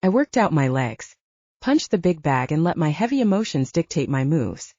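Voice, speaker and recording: A woman's voice: low voice, very animated, normal speed, dark tone, dry recording, highs cut off. A woman reading first-person fiction as a scripted audiobook narrator.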